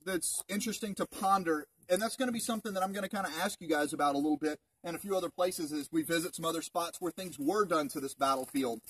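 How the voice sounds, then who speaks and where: A man's voice: on the high side, a bit bright, slightly rough. A man in his thirties, a park ranger, gives an informal history talk to a group outdoors, conversational and full of rhetorical questions, picked up by a camera a few metres away. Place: outdoors in open woods. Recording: distant outdoor mic.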